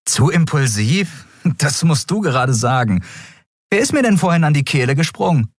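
A man's voice: a little high, sing-song, no room sound, studio quality, pitched above the performer's own voice. A man voicing an impulsive young captain woman. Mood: teasing. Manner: pleading, earnest, quick to argue.

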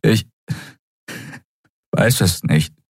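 A man voicing a desperate, breathless male captive in an audiobook, with a thin, strained and halting delivery. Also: fairly low pitch, gravelly, husky, dry recording, clean studio sound, voice pushed up, full character voice. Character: choking, desperate male captive pleading the truth.

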